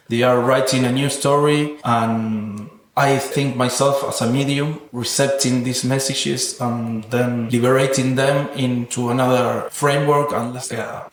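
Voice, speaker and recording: Thoughtful man in an echoing room. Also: medium pitch. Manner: thoughtful, earnest, philosophical. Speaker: interviewed guest, sound artist. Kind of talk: reflective interview answers. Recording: roomy echoing sound.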